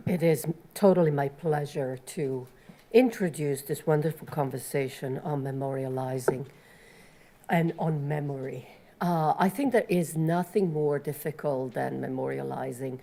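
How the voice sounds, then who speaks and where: A woman with an Italian accent, a professor moderating a panel and introducing speakers in an earnest, measured way. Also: low voice, gravelly, dark tone.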